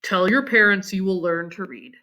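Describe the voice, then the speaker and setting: A woman voicing a kindly, earnest sixteen-year-old farm boy in a storybook read-aloud, speaking with quiet pride. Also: medium pitch, normal speed, pitched below the performer's own voice, close to an everyday voice, poor audio. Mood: quiet, encouraging.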